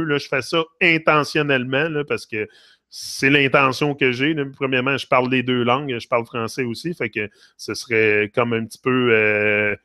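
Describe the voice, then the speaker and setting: A middle-aged man with a Québécois accent chats loosely on a live stream, hopping between French and English. He rambles and fills his talk with "là", and his voice sits at an ordinary pitch. The sound is dry and close, with no room echo. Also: sharp tone. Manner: rambling, talks to chat viewers by name, frequent "là" fillers. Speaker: livestream host.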